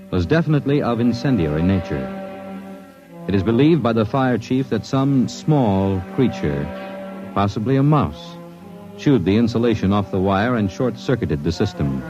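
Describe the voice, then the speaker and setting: A man playing a sober announcer who presents a case record in formal, measured 1950s radio narration. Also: on the low side, lo-fi sound, lightly acted. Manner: measured, solemn.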